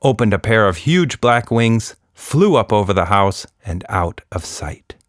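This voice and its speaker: A man's voice: lowish voice, expressive, a little slow, no echo, studio quality. A man narrating a scripted children's story as a podcast storyteller.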